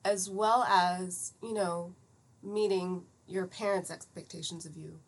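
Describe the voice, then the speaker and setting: A woman in her thirties from the United States, talking reflectively and in measured explanations as a guest in a conversational interview. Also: fairly low pitch, steady tone. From United States (Atlanta, Georgia). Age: 30s. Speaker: podcast guest, psychiatric pharmacist.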